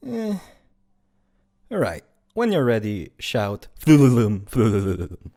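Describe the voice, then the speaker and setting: A performer voicing a brisk, dry afterlife official with an officious, mildly bored manner in an amateur audio drama. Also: mid-range voice, huge pitch swings, mellow, full character voice.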